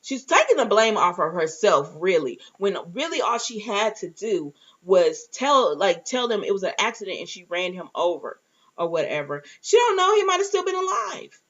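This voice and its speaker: Female recap host, medium pitch, informal and conversational, retells and reacts; muffled home-recorded sound. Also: sing-song, normal speed, a bit bright. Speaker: reviewer and host of a TV recap show. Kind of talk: casual recap chat with acted-out asides.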